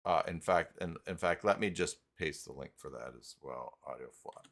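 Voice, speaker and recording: A man hosting an unscripted live software tutorial, talking haltingly as he thinks aloud while working. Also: very deep voice. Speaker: host of live tutorial stream.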